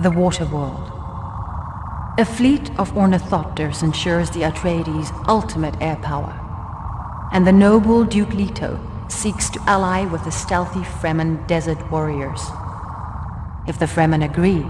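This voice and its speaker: Slow, solemn actress as a mysterious noble seeress. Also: female voice, lowish voice, noisy background, natural voice. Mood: prophetic. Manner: measured, portentous, dropping into breathy near-whispers. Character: solemn, mysterious noblewoman seer and imperial concubine. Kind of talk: dramatic video game cutscene narration.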